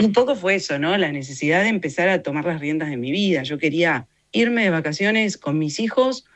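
A woman in her fifties with an Argentine Rioplatense accent and a fairly low voice. She gives reflective, conversational interview answers, explaining her points with emphasis and often checking in with the listener. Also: lively intonation, fairly quick. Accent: Argentine Rioplatense Spanish. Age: 50s. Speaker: interview guest, journalist and communications consultant.

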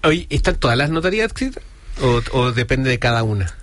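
A man's voice: mid-range voice, big pitch swings, a little fast, fairly bright tone. A man with a Chilean accent co-hosting an interview, asking questions casually and hesitantly. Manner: hesitant, full of fillers and restarts. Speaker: interview co-host.

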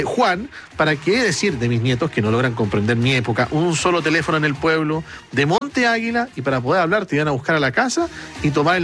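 A man's voice: sits high, a bit bright. A man with a Chilean accent hosting a casual late-night radio chat, talking colloquially with frequent tag questions and reading out listener messages. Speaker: radio host. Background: music behind the voice.

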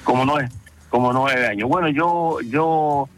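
A man with a Chilean accent, a truck driver telling his story in a relaxed interview, pausing often with fillers. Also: mid-range voice, faint music behind. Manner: hesitant, many fillers, storytelling. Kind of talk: casual phone-in interview.

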